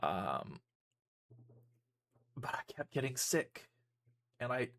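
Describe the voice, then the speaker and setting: A man's voice: medium pitch, sing-song, slow, vocal fry, a bit bright. A young man, a YouTuber and streamer, giving a casual personal update to his viewers, rambling with frequent ums and restarts.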